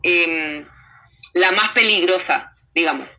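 A woman's voice: lowish voice, slow, pushed and bright. A woman interviewing in a conversational way, feeling out her phrasing, on muffled audio.

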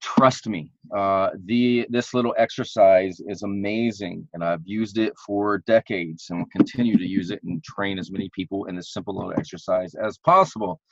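A man's voice: deep voice, fairly even intonation, moderate pace, vocal fry, hardly any pauses, no echo, muffled recording. A man in casual podcast chat, coaching singers with eager, repetitive phrasing. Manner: enthusiastic, repeats words while thinking. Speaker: vocal coach, podcast co-host.

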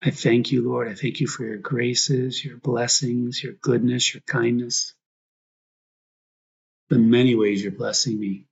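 Husky, gravelly man leading prayer. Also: medium pitch, flat delivery, dull audio. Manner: reflective, prayerful, pausing in short phrases. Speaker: priest, meditation guide. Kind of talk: guided prayer meditation.

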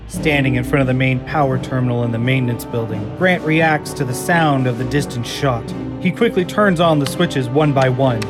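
A man reading stage directions as narrator of a fan audio drama in an even, descriptive way. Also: mid-range voice, normal speed, few pauses, over loud music.

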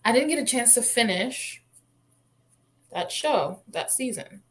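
A woman's voice: a little high, expressive, measured pace. A woman co-hosting a podcast, chatting casually and informally with wry, jokey reactions. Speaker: podcast co-host. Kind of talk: casual chat.

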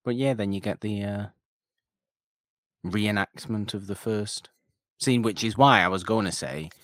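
A man's voice: fairly low pitch, measured pace, dry recording, studio quality. A man co-hosting a podcast, chatting casually and ramblingly about an old film, often breaking off mid-sentence. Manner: rambling, animated, self-interrupting. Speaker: podcast co-host.

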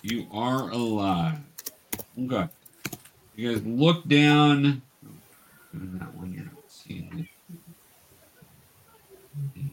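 A man hosting a tabletop game as game master, explaining things patiently and casually in a halting way. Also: medium pitch, lively intonation, slight background noise, low-quality recording. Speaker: game master and show host. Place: remote video call.

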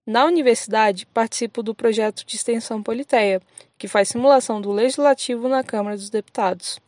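A young woman introduces herself on a student podcast, composed and orderly. Her voice is fairly high but warm and mellow. The sound is dry and close. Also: brisk, dry recording. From Minas Gerais, Brazil. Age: young adult. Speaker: podcast member.

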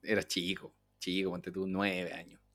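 A man's voice: low-pitched, level tone, measured pace, fairly bright tone, dry recording. A man with a Chilean accent chatting casually as a podcast co-host, telling anecdotes in informal slang and acting out bits of dialogue.

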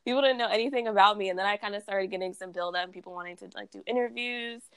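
A woman's voice: medium pitch, very fast, smooth, dry recording. A young woman from Texas, a guest on a podcast, chatting casually about her brand, laughing often and saying "like" a lot. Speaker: guest, cannabis brand founder.

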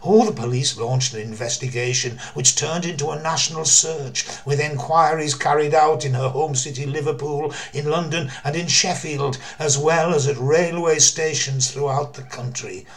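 An older man narrating a true mystery story in a theatrical, deliberate way, with emphasis and dramatic pauses. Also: a little high. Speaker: storyteller, former theatre worker.

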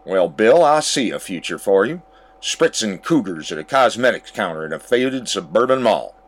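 A man's voice: on the low side, very animated, normal speed. A man playing a smug, ruthless corporate boss with a pompous, sardonic manner in a campy audio drama.

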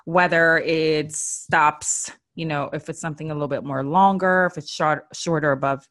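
Low-voiced woman hosting a beauty podcast, chatty, cheering you on. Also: fairly even intonation, moderate pace, a bit bright. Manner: chatty and encouraging, with fillers like "um" and "like". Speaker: podcast host, style and beauty expert. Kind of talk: casual tips podcast.

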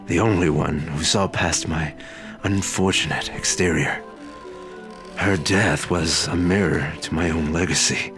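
Radio drama: a deep-voiced, solemn male bell-ringer guardian, measured and grave. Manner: solemn, measured, quietly sad.

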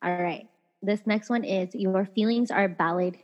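A chatty young woman co-hosting a podcast, laughing easily and speaking loosely with many fillers. Her voice sits at an ordinary pitch. The audio is dull and lo-fi, with a long reverb trailing after it. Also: moderate pace, a little mellow. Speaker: podcast co-host. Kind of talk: casual chat reading listener messages.

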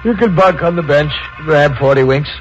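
An actor playing a matter-of-fact police detective in a 1940s radio drama. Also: male voice, fairly high pitch, normal speed, natural voice. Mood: businesslike. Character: gruff, weary police detective. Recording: lo-fi old radio recording with faint hiss.

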